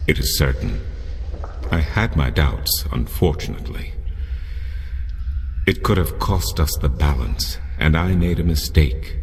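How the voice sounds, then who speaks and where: An actor playing a wise, solemn old mentor in a video game, speaking in a measured, formal way. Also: male voice, a bass voice, animated, creaky, long reverb tail, a little hiss or hum, heavily acted. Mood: grave. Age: older. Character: wise ancient old man, grave mentor.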